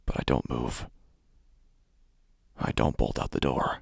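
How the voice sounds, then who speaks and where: A man playing a cold, obsessive, violent burglar who tells his own horror story in an intense, deliberate first-person audio drama narration. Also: rumbling low, fairly even intonation, gravelly, husky, no room sound, clean studio sound, heavily acted. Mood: tense, frozen.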